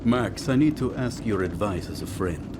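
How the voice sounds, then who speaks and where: A man voicing a sly, smooth-talking gangster in a video game, falsely friendly, florid and teasing. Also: fairly low pitch, expressive, normal speed, close to an everyday voice. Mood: philosophical. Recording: loud vehicle noise behind the voice.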